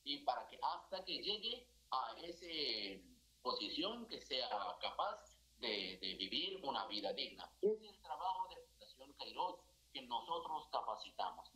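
A middle-aged priest from India speaks with an Indian accent, earnest and halting, full of small fillers. His voice is bright and forceful. He talks over a video call from a small office. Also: mid-range voice, poor audio. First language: not Spanish. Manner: earnest, gestures a lot, halting non-native phrasing with many fillers. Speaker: priest, foundation director. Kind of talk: live TV interview by video call. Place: indoor office with a religious statue.

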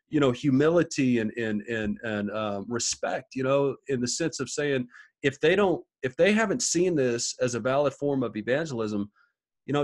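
A man in his thirties talking from a home study over a video call, thinking aloud and often repeating words as he reasons. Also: medium pitch. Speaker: podcast co-host. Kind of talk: casual discussion on a video call. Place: small home study with bookshelves.

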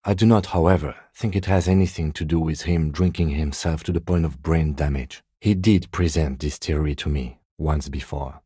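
A man playing a composed, dutiful police lieutenant in a video game, speaking in a measured, precise, formal and understated way. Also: a bass voice, warm tone, slightly rough, no echo, clean studio sound. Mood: calm, matter-of-fact. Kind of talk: scripted game dialogue.

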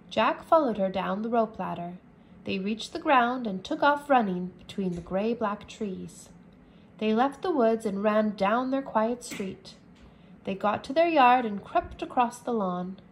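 A woman teacher reading a children's chapter book aloud, slowly and clearly, indoors at a table. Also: on the high side, animated, slight background noise. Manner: slow, careful, clear reading. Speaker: English teacher.

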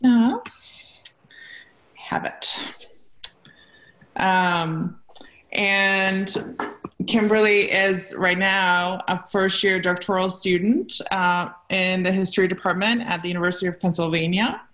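A woman's voice: medium pitch, fairly even intonation, slow pace, a bit bright. A young adult woman hosting, introducing a guest speaker over a video call with many fillers. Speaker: lecture series host. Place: room at home, indoors. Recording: echoey room on a video call.

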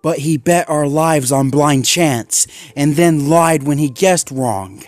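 A man's voice: fairly high pitch, slow, no echo, soft background music, voice pushed up. A man doing a grim, steely young Labrador pup. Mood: bitter. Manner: intense, forceful and resolute. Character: determined, grim young male Labrador dog.

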